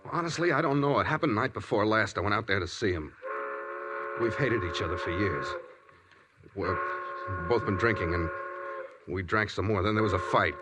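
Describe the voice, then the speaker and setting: An actor playing a tense, guilt-ridden murder suspect, urgent and blunt, in a 1940s radio drama. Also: male voice, fairly low pitch, normal speed, long pauses, close to an everyday voice. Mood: tense, troubled. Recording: noisy vintage radio recording.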